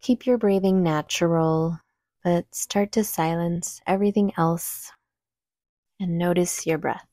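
A woman guides a meditation in a slightly low, mellow voice. Her delivery is calm and very slow, giving each gentle instruction room to settle. The sound is dry and close, free of room echo. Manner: calm, soothing, unhurried instruction.

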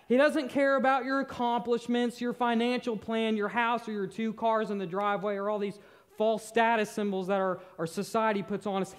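A young man preaching a sermon on a church stage, earnest, shifting between loud emphasis and soft, near-whispered moments. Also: very high-pitched. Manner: paces the stage gesturing with both hands. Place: church stage in a large hall.

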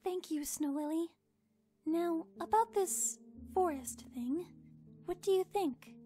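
Here is a gentle young pony princess from an animated drama, acted by a woman. Her voice is extremely high, and she speaks politely and hesitantly, earnest and curious. Music plays underneath. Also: slow. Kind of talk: animated fan drama acting.

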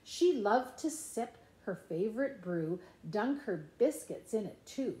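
Middle-aged female storyteller, slow and expressive picture-book delivery, playful sing-song lilt, roomy home recording. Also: mid-range voice. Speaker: grandmother reading a picture book to grandchildren. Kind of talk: animated children's storybook read-aloud with asides. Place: indoors in a room at home. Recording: echoey room.

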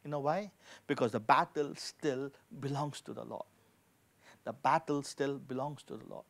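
A man's voice: medium pitch, moderate pace, creaky. A man in his forties preaching a sermon as a pastor, emphatically, repeating key phrases for effect. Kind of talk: sermon with scripture reading and prayer. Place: indoor studio with dark backdrop, at a lectern. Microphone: handheld microphone.

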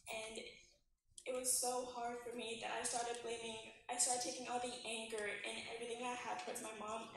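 A young woman giving a steady personal testimony and quoting scripture on a church stage. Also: fairly high pitch, vocal fry. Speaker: choir member giving testimony. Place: large church sanctuary, on stage. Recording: echoey hall, from far off, background noise.

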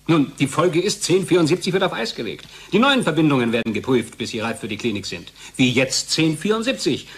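An actor playing a pragmatic, calculating company colleague in a German radio drama, with a cajoling, conversational manner. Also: male voice, a little high, big pitch swings, a little fast, hardly any pauses, a bit bright, slight background noise. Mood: confiding, cajoling. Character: pragmatic, persuasive male pharmaceutical company colleague, smoothly calculating.

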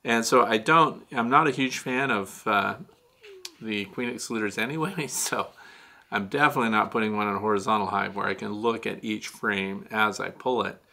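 A man's voice: fairly low pitch, normal speed, creaky, pushed and bright. A man in his sixties hosting a beekeeping question-and-answer show, explaining in a chatty way with frequent fillers. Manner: chatty, gestures a lot, many "uh" fillers. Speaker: beekeeping Q&A host. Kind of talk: casual explanatory talk answering viewer questions. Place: small dim studio room with shelves.

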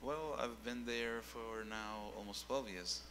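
Young man, singer as guest, bright tone, rambling delivery, handheld mic in a reverberant hall. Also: mid-range voice, slow, slight background noise. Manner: rambling, repeats words while searching. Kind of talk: casual interview on stage. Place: dark stage with keyboard.

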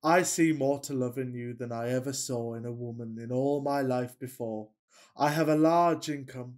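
Man playing a pompous lovestruck Victorian gentleman. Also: medium pitch, close to an everyday voice. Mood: ardent. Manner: florid, grandiose, earnest declaiming. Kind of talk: farcical period radio play acting.

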